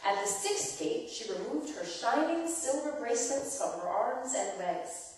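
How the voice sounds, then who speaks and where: A woman storyteller reads a myth with expressive, swooping intonation in a fairly high voice. She explains things as if to children. The recording is distant and heavily echoing, from a live church performance. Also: a little slow. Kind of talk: dramatic reading of a myth, storytelling. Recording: very echoey church hall, distant microphone.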